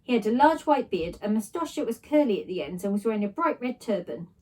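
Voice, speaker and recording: A woman's voice: mid-range voice, big pitch swings, quick pace, mellow. A woman reading a children's story aloud expressively, narrating in a child's first person. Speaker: story reader, teacher.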